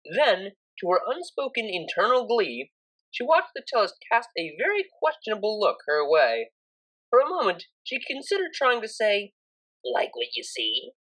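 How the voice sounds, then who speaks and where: A woman's voice: medium pitch, a bit bright, low-quality recording. A woman narrating a scripted story expressively, as an audiobook reader.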